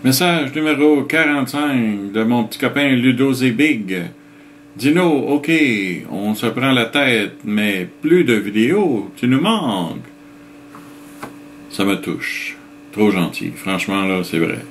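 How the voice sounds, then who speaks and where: A middle-aged man with a Québécois French accent reads out viewer comments and answers them in a relaxed, chuckling way, explaining things step by step. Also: medium pitch, slow pace, a little hiss or hum. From Quebec, Canada. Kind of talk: casual chat reading out comments.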